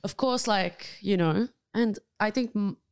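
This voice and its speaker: A woman's voice: medium pitch, unhurried, clear, resonant tone, no room sound. A woman from Lebanon with a Lebanese Australian accent and Arabic as her first language, talking candidly and expressively as an interview guest, often breaking off and restarting. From Lebanon, moved to Australia at thirteen. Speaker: guest, actor.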